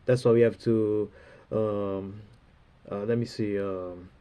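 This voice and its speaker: A man with a stuffy, runny nose giving a rambling spiritual talk, halting and full of fillers, often ending phrases with "man". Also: lowish voice, steady tone, dark tone, smooth. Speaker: religious speaker.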